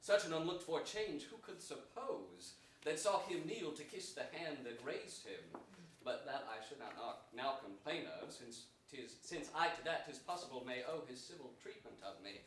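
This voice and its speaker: A young man playing a gentle, melancholy captive king, declaiming earnest Shakespearean verse in a staged reading. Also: medium pitch, very animated, moderate pace. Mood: resigned, troubled. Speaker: stage actor. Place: large indoor theater stage before an audience. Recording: echoey theater, distant microphone, some noise.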